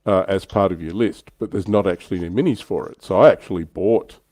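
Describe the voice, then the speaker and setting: A man's voice: sits low, quick pace, darkish tone, dry recording. A man with an Australian accent hosting a livestream in a casual hobby discussion. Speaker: livestream host.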